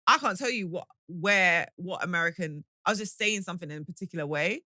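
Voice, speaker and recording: A woman's voice: lowish voice, fairly quick, pushed and bright, no echo, studio quality. A young woman from the UK, co-hosting a podcast and earnestly explaining her points in casual, slangy chat. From United Kingdom. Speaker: podcast co-host.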